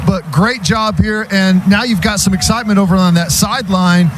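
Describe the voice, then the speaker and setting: High-voiced American male color commentator. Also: a little fast, noisy background. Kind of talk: live football analysis between plays. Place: stadium radio booth.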